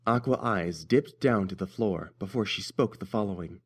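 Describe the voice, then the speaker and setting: Low-voiced man narrating a story. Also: normal speed, breathy edge.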